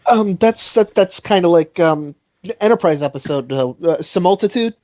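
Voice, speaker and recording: A man's voice: sits high, expressive, normal speed, a little mellow, dry recording. A man chatting casually as a panelist on a podcast. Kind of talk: casual chat. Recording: dull, slightly lo-fi, dry microphone sound.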